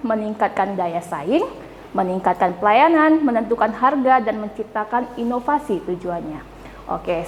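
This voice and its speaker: A woman's voice: a little high, slight background noise. A woman lecturing a class in a chatty way, asking her students questions and checking for agreement. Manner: chatty, often asks "ya kan?" for agreement. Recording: echoey classroom with long reverb.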